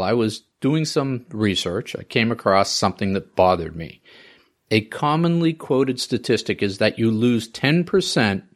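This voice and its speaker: Man, fifties, podcast host, solo monologue, wry, rhetorical questions, pitch rising and falling a lot. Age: 50s.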